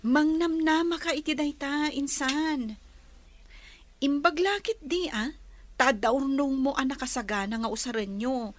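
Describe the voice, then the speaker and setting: A woman's voice: high-pitched, a little slow. A woman acting a kindly, encouraging cousin with an Ilocano accent in a radio drama. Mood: comforting. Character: kindly, encouraging female cousin comforting a new mother.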